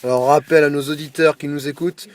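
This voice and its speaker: Male prank caller, high voice, posing as an excitable radio quiz host, pushy and boisterous improvised patter. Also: quick pace. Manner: boisterous, pushy, hyped-up exhortations.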